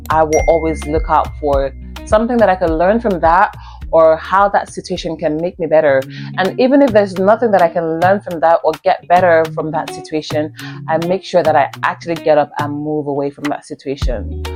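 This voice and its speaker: A woman's voice: fairly low pitch, a little mellow. A young woman from Nigeria with a Nigerian accent, talking earnestly to camera on her first vlog and repeating herself for emphasis, with faint music behind. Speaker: vlogger. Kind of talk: casual talk to camera.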